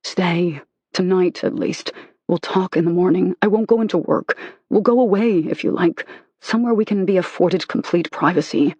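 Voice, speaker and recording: An audiobook narrator voicing a remorseful husband who softly begs his wife to stay. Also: medium pitch, normal speed, slightly rough, no room sound, dull audio, natural voice. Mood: pleading. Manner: pleading, soft, contrite. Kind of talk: audiobook character reading.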